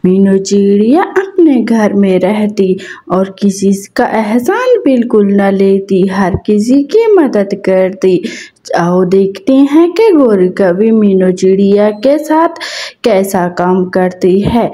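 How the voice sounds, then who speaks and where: Slow, animated woman storyteller. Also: mid-range voice. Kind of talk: scripted children's story narration.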